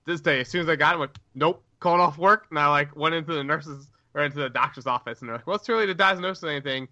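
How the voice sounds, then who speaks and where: A man co-hosting a casual podcast, telling stories in a quick, chatty way. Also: on the high side, pushed and bright, dry recording, muffled recording. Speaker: podcast co-host.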